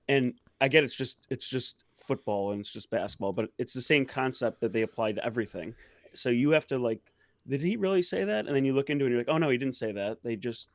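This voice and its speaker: Casual man with a dry, mid-pitched voice. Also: brisk, no room sound. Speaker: talk show co-host. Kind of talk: casual chat.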